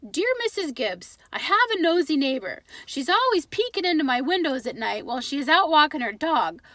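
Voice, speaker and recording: A woman's voice: sits high, sing-song, normal speed, pushed and bright, no room sound, voice pushed up, exaggerated voice. A woman voicing an earnest, enthusiastic father who reads the newspaper aloud emphatically in a children's storybook. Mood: eager.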